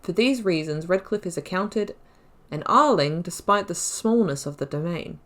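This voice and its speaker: A woman's voice: lowish voice, expressive, normal speed, fairly bright tone. A woman hosting a gaming video, reading lore aloud and adding informal, self-correcting asides. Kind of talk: reading game lore aloud with casual commentary.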